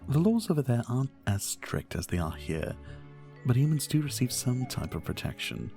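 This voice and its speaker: Man acting a gravelly, charming vampire bartender. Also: medium pitch, big pitch swings, normal speed, mellow, faint music behind. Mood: wistful, guarded. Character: charming flirtatious male vampire bartender, modest outsider. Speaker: roleplay audio performer. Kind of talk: ASMR roleplay, addressing the listener.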